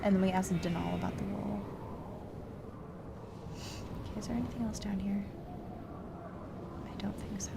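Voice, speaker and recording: A woman doing casual let's-play commentary, thinking aloud in a halting, self-questioning way. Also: medium pitch, steady tone, creaky, heavy background noise. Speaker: host playing a video game.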